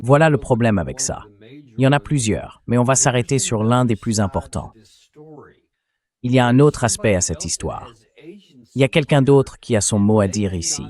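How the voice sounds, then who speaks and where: A man's voice: mid-range voice, animated, moderate pace, a little mellow. A synthetic male voice hosting a political interview, reading a translated dub as spoken commentary. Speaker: interview host. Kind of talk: political talk show commentary, dubbed translation.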